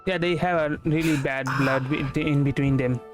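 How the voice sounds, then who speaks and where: A man with an Indian accent giving casual, halting live commentary as he streams a video game. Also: fairly high pitch, steady tone. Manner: halting, rambling. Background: game music.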